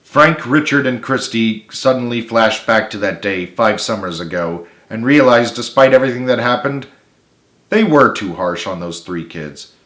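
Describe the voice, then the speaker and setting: Casting: male author-narrator, mid-pitched, dramatic storybook delivery, roomy sound. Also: expressive, normal speed, fairly bright tone. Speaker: author reading own book. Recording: echoey room.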